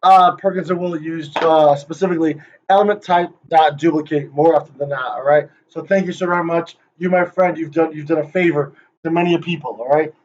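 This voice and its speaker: A man with a high voice runs through a presentation in a joking, hyped-up way, teasing the people he names. The recording sounds dull and lo-fi. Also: steady tone, brisk, a little mellow, slight background noise. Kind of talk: rehearsing a playful conference talk.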